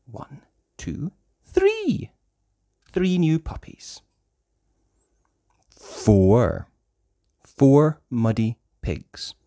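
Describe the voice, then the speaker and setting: Very slow, swooping children's story reader. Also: mid-range voice, huge pitch swings, dark tone. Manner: sing-song, exaggerated, with whispers and squeals. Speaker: host reading a children's picture book. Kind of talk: read-aloud for young children, slow counting.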